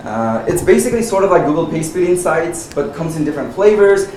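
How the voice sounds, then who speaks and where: A man's voice: a little high, expressive, a little fast, a little mellow. Guy doing a meetup talk, chatty w lots of uhs, roomy echo, kinda far from the mic. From Nepal. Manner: conversational, frequent "uh" and "right?" tags. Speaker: conference speaker. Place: meetup venue, audience present. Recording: echoey room from far off, noisy.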